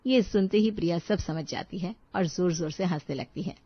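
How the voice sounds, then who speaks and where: A woman's voice: on the low side, normal speed, breathy edge, dry recording, muffled recording. A woman narrating a scripted story as a storyteller.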